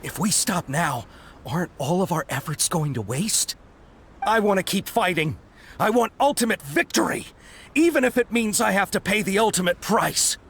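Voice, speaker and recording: A man's voice: high voice, expressive, normal speed, fairly bright tone, breathy edge, heavily acted. A man playing a fervent, earnest young soldier in a video game, deferential and pleading. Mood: desperate, fervent.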